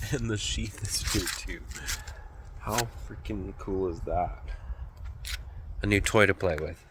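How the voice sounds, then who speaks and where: A man's voice: sits low, slow, long pauses, noisy background. A man with a stuffy, congested nose, chatting casually and rambling as he vlogs from his van life travels. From Canada. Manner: chatty, rambling, easily sidetracked. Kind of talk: casual vlog chat to camera.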